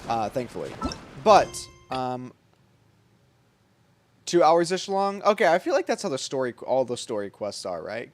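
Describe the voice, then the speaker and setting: A man chatting casually to livestream viewers in a rambling, slangy way. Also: medium pitch. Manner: rambling, informal slangy talk. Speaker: game livestreamer. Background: background music.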